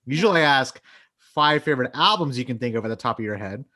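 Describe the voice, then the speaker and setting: A man from Bangladesh hosts an interview, friendly and easygoing, laughing readily. He talks quickly, with loose fillers. His pitch sits in the middle. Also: lively intonation, bright, forceful tone. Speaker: interview host. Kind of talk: casual interview chat.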